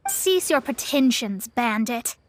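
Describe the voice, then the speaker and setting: High-pitched, haughty young astrologer. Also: female voice, expressive, takes it slowly, a little hiss or hum. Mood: stern, challenging. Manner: pompous, formal, theatrical diction. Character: young woman astrologer, proud, haughty master and mage. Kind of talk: video game voice acting. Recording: game music behind the voice. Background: game music.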